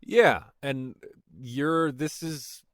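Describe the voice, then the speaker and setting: A man in his late twenties chatting casually as a guest, halting and rambling with a self-deprecating streak. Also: medium pitch. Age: late 20s. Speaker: voice actor, podcast guest. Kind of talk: casual conversation about a fan project.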